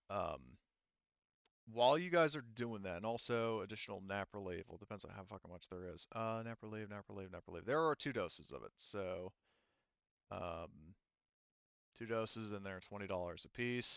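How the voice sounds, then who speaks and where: A man speaking casually as game master of an online tabletop game, explaining things in a halting, rambling way. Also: sits low, vocal fry, clean tone, no echo, muffled recording.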